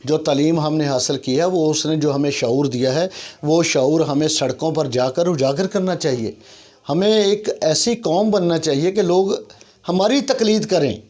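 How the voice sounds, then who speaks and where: A man's voice: a little high, a little slow, darkish tone. A man with a Pakistani accent delivering an earnest, complaining opinion monologue, emphatic and full of rhetorical repetition. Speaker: YouTube commentator. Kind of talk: opinion monologue on civic issues.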